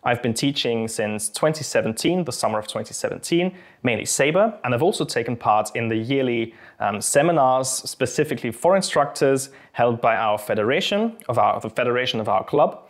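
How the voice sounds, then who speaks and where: A young Austrian man speaks English with a British-tinged accent over his native German, at a medium pitch. He answers viewer questions in a friendly, thoughtful way, pausing often with small ums. It sounds like a relaxed chat from a channel host. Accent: Austrian German mixed with British English. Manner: friendly, thoughtful, a little hesitant with frequent ums. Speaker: channel host and fencing instructor. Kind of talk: casual Q&A to camera.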